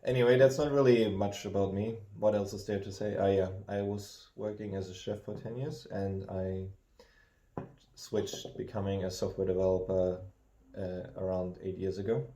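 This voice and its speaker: A man, co-hosting a casual chat, who talks in a halting, reflective way with many restarts. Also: sits low, level tone, dark tone. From Germany. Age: 30s. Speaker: co-host. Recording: roomy echo.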